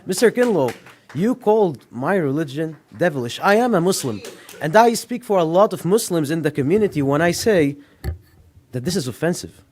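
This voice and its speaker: Guy at a podium mic, fairly high voice, calling out an official to his face. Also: sing-song, moderate pace, a little mellow. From Lebanon. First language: Arabic. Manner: confrontational, addresses an official directly. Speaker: public commenter. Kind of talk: public comment speech at a board meeting. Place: public meeting room.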